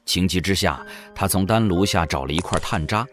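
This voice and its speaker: A man's voice: low-pitched, a little fast, soft background music. A man reading scripted, dramatic narration for a fantasy audio drama as its narrator.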